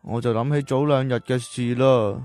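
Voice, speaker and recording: A man playing a gentle, poor cowherd boy who loves learning in a radio drama, telling his story earnestly. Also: mid-range voice, steady tone, normal speed, no room sound, faint music behind, close to an everyday voice. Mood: wistful.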